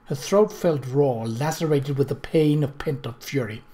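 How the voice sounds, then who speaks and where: A man reading fiction aloud as a narrator, in a deliberate, dramatic manner. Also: mid-range voice, mellow. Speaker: audiobook reader.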